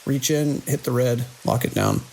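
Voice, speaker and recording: A man playing a weary, skeptical security chief in an audio drama, speaking in a dry, authoritative, measured way. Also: medium pitch, huge pitch swings, creaky, darkish tone, a little hiss or hum, rough recording. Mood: commanding, calm. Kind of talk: immersive audio drama acting.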